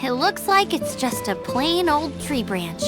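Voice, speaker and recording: A woman voicing a keen, confident young female scout knight in an anime-style game, earnest and brisk. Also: sits high, big pitch swings, music in the background. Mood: focused.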